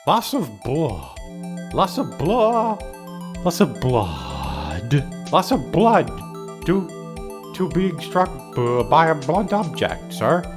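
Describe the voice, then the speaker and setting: Flustered, stammering detective, swooping pitch. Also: male voice, huge pitch swings, slow pace, music in the background, full character voice. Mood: nervous, eager. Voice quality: heavy stammer. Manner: deferential, nervous, hesitant. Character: flustered, bumbling male police detective. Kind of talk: video game dub voice acting.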